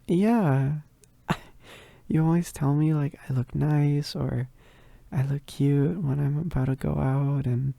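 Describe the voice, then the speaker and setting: Shy, needy werewolf roommate for roleplay ASMR, played by a performer: very dark, soft voice with creaky edges, halting and affectionate. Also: mid-range voice, no room sound. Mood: bashful, affectionate. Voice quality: soft, breathy, husky. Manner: bashful, halting, giggly, often dropping to whispers. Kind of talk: roleplay ASMR, intimate one-sided dialogue.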